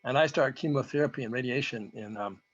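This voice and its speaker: A man with a hoarse voice gives an informal, halting technical presentation, explaining data as a researcher. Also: mid-range voice. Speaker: presenter, researcher giving a talk to beekeepers. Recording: dull, lo-fi audio.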